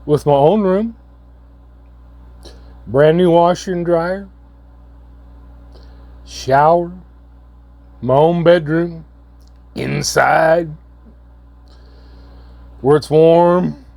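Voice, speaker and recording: A hoarse man, coming down with a cold, giving a halting, earnest update to his viewers. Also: on the high side, a little mellow, no echo. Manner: halting, repeats words, slow and earnest. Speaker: channel host. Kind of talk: casual vlog update.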